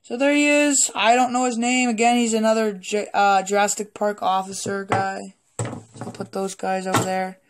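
A woman who is a little sick and hoarse, rambling casually and hesitantly through a toy collection review with some swearing, recorded on a handheld phone at a table indoors. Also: mid-range voice, flat delivery, moderate pace, slight background noise. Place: indoors at a wooden table in a home room.

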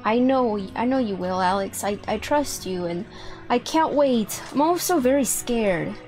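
A woman's voice: a little high, expressive, a little slow, a little mellow, low-quality recording. A young woman playing a sweet, anxious villain girlfriend in an improvised video game roleplay. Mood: tender, anxious. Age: young adult. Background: music.

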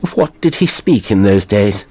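A man's voice: lowish voice, animated, normal speed, a little mellow, voice pushed up. A man voicing an arrogant, wicked aristocrat in a horror story, imperious, commanding and sneering as he questions. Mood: sneering inquiry.

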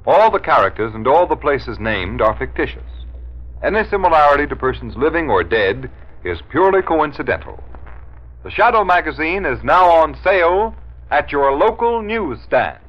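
A man's voice: fairly high pitch, big pitch swings, moderate pace. A man reading scripted announcements in 1930s radio style. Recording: muffled 1930s radio recording.

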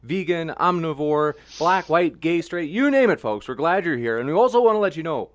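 A man hosts an online debate, giving cheerful welcoming announcements. His voice sits fairly high. He speaks into a studio microphone. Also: lively intonation, normal speed. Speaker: debate moderator. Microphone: studio mic on arm.

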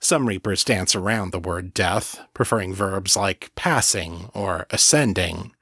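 Male fiction narrator, slightly low, wry measured delivery, dry studio-like sound. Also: sharp tone, breathy edge, dry recording. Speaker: audiobook narrator. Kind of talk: scripted first-person fiction narration.

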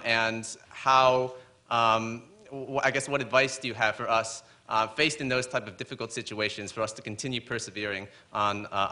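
A young man of about twenty speaks as a student questioner at a standing microphone in a forum. His voice is bright and forceful at a middling pitch. He is polite and hesitant as he works through his question. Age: young adult, about 20. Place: indoor forum, standing among audience.

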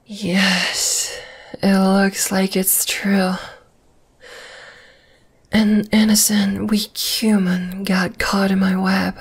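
A woman's voice: medium pitch, heavily acted. Woman as a whispering, menacing spider woman. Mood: intrigued, mocking. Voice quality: breathy. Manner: slow, teasing, menacing whisper. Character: menacing, dominating, flesh-eating giant spider woman. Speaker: voice actor. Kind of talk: whispered ASMR roleplay.

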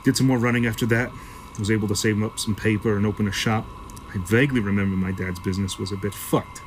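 Guy acting a jaded sci-fi organ dealer, lowish voice, gruff and sweary, bit of noise behind. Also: fairly even intonation, fast talker, natural voice. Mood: matter-of-fact, jaded. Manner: gruff, profane, offhand storytelling. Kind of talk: sci-fi audio drama acting.

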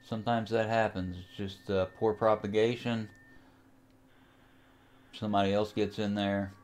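A man with a fairly low voice talks through a software tutorial in a casual way. He works without a script, pausing and hesitating as he thinks aloud about each step. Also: level tone. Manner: hesitant, unscripted, thinking aloud while operating. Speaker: amateur radio enthusiast, tutorial host.

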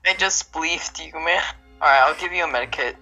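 A man's voice: on the high side, normal speed, bright, forceful tone, low-quality recording. A young man with a Texas accent chatting casually and teasing his friend while gaming. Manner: teasing, goofy. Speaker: gamer friend. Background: faint game music.

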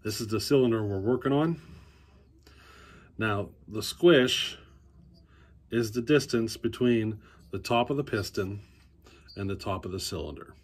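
A man's voice: fairly low pitch, measured pace, pauses often. A man in his forties teaching chainsaw work in a basement workshop, talking easily to a close phone camera and thinking aloud as he goes. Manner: easygoing, thinking aloud with fillers and restarts. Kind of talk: casual workshop how-to.

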